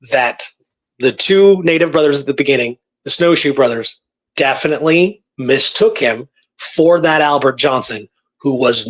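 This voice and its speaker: A man's voice: mid-range voice, a bit bright, rough recording. A man hosting a radio show, telling a story in a casual, conversational way and repeating phrases for emphasis.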